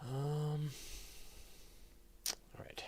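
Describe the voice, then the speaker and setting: A man's voice: on the high side, monotone, extremely slow, dark tone, a little hiss or hum. A man hosting a casual live science question and answer session, explaining conversationally and thinking aloud with enthusiasm. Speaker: host answering audience questions.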